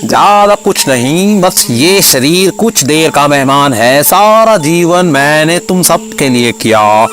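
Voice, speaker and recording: A man playing a ghoulish spirit inside a dying old village headman in a cartoon, grumbling theatrically in drawn-out rhymes. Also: a little high, lively intonation, fairly bright tone, soft background music. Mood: solemn, feigned frailty. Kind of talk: animated cartoon story acting.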